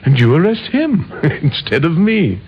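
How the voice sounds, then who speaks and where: Radio drama role: smug, cheerful madman killer, lively intonation, hissy old recording. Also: fairly high pitch, measured pace, dull audio. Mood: breezy annoyance. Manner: chatty, breezy, chuckling confessions. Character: cheerful, deranged male vigilante killer, smugly proud.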